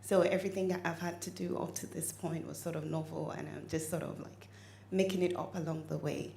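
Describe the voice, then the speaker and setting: A woman's voice: low voice, steady tone, gravelly, mellow. Hesitant woman asking from an echoey hall. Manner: hesitant, with many "um"s and slow pauses. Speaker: audience member asking a question. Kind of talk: audience question at a conference. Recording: echoey hall, faint background noise.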